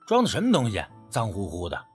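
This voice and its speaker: A man acts out a sceptical young guy in an audio ghost story. He is blunt and mocking, impatient with his friend, his husky voice very animated over music. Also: on the low side, fast, pitched below the performer's own voice, full character voice. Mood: dismissive. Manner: blunt, sarcastic.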